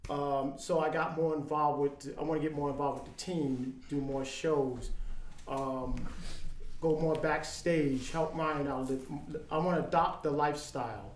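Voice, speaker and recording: A man in his fifties talks earnestly in a relaxed podcast chat, stopping and restarting his thoughts with frequent ums. His voice sits a little high for a man. The room around him is echoey, with a faint hiss underneath. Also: unhurried. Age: 50s. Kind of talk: casual chat.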